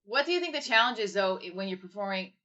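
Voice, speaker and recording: A woman's voice: medium pitch, lively intonation, quick pace, bright, forceful tone. A woman hosting a casual livestream chat, asking loose, rambling questions with a self-deprecating streak. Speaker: livestream host. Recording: dull lo-fi stream audio.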